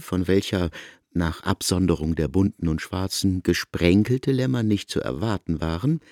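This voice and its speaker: A wry, learned man telling a literary tale for radio. His low voice moves with lively swings of pitch, recorded close and dry. He speaks with deliberate emphasis. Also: vocal fry, no echo. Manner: expressive, emphatic, deliberate storytelling. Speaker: narrator. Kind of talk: literary radio drama narration.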